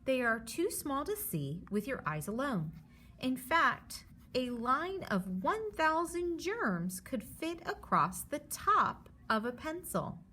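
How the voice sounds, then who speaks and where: A woman's voice: high voice, big pitch swings, takes it slowly, pushed and bright, a little hiss or hum. A woman teacher reading a children's book aloud, stopping to explain things simply and reassure her young listeners.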